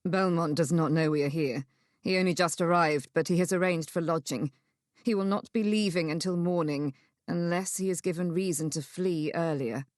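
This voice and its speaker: A woman voicing a gentle, resolute gentleman in a Regency romance audiobook, soft-spoken and measured. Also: on the low side, no echo, clean studio sound, pitched below the performer's own voice, close to an everyday voice. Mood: grave, measured.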